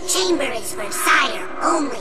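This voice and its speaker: Tiny alien creature, stubborn, high-pitched, drawn-out broken speech, video game, loud score. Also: female voice, animated, over loud music. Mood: defiant. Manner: simple broken phrases, drawn out.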